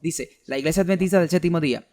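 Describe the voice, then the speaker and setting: Earnest man's somewhat high voice. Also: fast talker, a little mellow. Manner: earnest, argumentative, reads out quoted documents. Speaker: preacher giving a talk.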